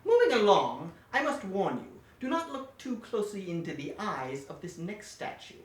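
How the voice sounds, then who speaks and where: A woman's voice: mid-range voice, big pitch swings, moderate pace, distant mic, natural voice. A woman voicing a sly male villain professor who runs a wax museum, suave and theatrical with sudden menace. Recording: roomy echo, voice set back from the mic.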